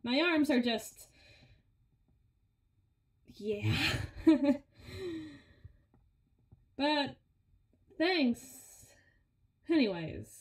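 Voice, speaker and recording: A woman's voice: high-pitched, animated, extremely slow, pauses often, exaggerated voice. A woman playing a brawny, sardonic henchwoman who grumbles and teases in an intimate audio roleplay, with a conversational, sarcastic delivery. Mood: flustered, teasing. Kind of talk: ASMR audio roleplay, intimate one-sided dialogue. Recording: roomy, echoing voice recording.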